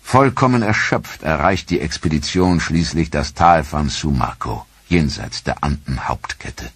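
A man narrating a history documentary in a measured, dramatic storytelling delivery, recorded as a studio voice-over. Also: a bass voice, expressive, a bit bright, slightly rough, no echo.

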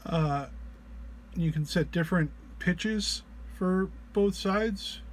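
A man who is under the weather gives an informal tutorial walk-through, explaining haltingly and hesitantly. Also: sits high. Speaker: presenter of a gear walk-through.

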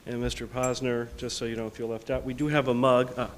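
A man moderating a press club event, reading audience questions in a halting way and presenting gifts to the speakers. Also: mid-range voice, little pitch movement, a little hiss or hum.

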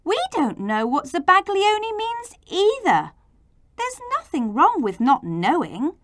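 Woman voicing high, spirited storybook children. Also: huge pitch swings, slow pace, fairly bright tone, dry recording, clean studio sound, heavily acted. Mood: indignant. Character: indignant group of children, defiant and spirited.

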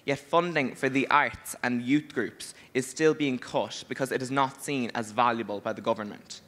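A man's voice: mid-range voice, fairly even intonation, normal speed, a bit bright. A sixteen-year-old Irish boy giving a formal, earnest prepared speech as a youth delegate on a conference panel. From Ireland. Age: teens. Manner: earnest, formal, well-paced delivery. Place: large conference hall stage. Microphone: handheld mic.

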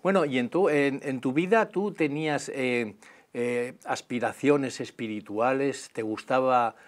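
A man's voice: medium pitch, a little slow. Man, middle-aged, TV host, mid-range voice, welcoming, asks questions, lapel mic, studio. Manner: welcoming, asking prompting questions. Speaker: TV show host. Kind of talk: hosting a faith testimony interview. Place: small TV studio set.

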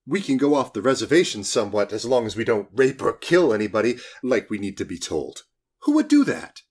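A man's voice: lowish voice, big pitch swings, fairly quick, breathy edge. A man voicing a disgruntled, sardonic male office outlaw in an audiobook, grumbling and exasperated. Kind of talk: audiobook character dialogue.

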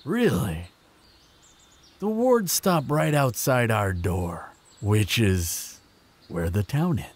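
A man playing a proud, protective vampire in a fantasy roleplay audio drama, speaking in measured, sardonic threats. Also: sing-song, exaggerated voice. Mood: smug, assertive. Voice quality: low, gravelly, husky. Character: proud protective male vampire, menacing, sardonic, fond of lover.

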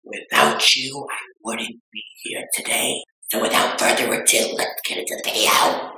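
A man voicing a vain, bawdy aging beauty vlogger woman who claims to be twenty-two, with a breathy, whispery drawl and gossipy theatrical delivery. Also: medium pitch, steady tone, normal speed, bright, forceful tone, slight background noise. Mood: chatty. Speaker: makeup tutorial vlogger. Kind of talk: comedic makeup tutorial. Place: small decorated room indoors. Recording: echoey room.

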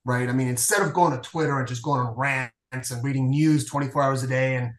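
A man's voice: medium pitch, steady tone, fairly quick, reverberant. A man in his forties from Venezuela, a payments executive talking casually on a video call with many filler uhs. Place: home room, indoors. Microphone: video call webcam.

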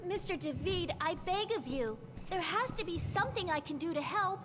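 A woman's voice: very high-pitched, lively intonation, moderate pace, in a large hall, background noise. An actress playing an earnest, determined teenage girl in a dramatic game cutscene. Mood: pleading, determined. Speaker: video game character.

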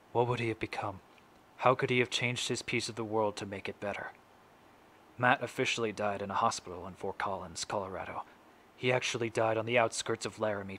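A grieving, resolute father played by a young man delivers a solemn stage monologue in measured phrases. The voice is medium in pitch, with noticeable noise from an amateur home recording. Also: a bit bright, lightly acted. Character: grieving, resolute middle-aged father addressing his son's killer. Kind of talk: dramatic stage monologue recitation.

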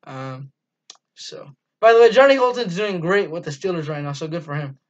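A man's voice: fairly high pitch, animated, moderate pace. A teenage boy hosting a casual sports review from a small dim room, talking enthusiastically with lots of ums and restarts. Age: teens. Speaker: fan channel host. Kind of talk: casual postgame review monologue. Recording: dull lo-fi webcam audio.

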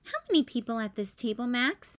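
A woman's voice: on the high side, animated, fairly quick, lightly acted. A woman reading a storybook as a bossy, puzzled young big-sister bunny, in an emphatic, exclaiming way. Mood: surprised. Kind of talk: children's storybook read-aloud.

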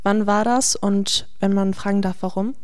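A young woman asks prepared interview questions in a polite, measured way. Her pitch is an ordinary middle height. She speaks into a handheld mic in a lab classroom. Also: fairly even intonation, clear, resonant tone. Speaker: youth interviewer. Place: pharmacy school lab indoors.

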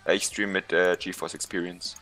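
Deep-voiced young streamer, slangy. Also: male voice, flat delivery, moderate pace, sharp tone, faint music behind. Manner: slangy, joking, swears and laughs. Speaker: game streamer. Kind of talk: casual gaming stream chat.

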